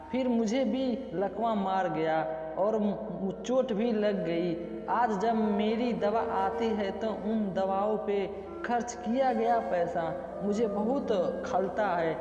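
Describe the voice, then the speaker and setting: A man narrating a scripted moral story in the first person. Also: high-pitched. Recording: muffled, echoey reverb with loud background music. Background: music.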